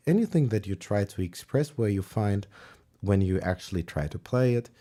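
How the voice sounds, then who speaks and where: A man with German as his first language talks through a piano lesson at an unhurried pace, his voice sitting a little low. He is relaxed and stops now and then to hunt for the right English word. Loud piano playing carries on under his speech. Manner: relaxed, searching for words, sings and counts along. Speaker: piano teacher. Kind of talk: livestream music lesson.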